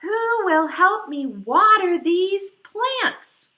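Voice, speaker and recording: High-pitched, industrious little mother hen played by a woman. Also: animated, bright, forceful tone, exaggerated voice. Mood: hopeful, calling. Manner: singsong, drawn-out calls. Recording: echoey room.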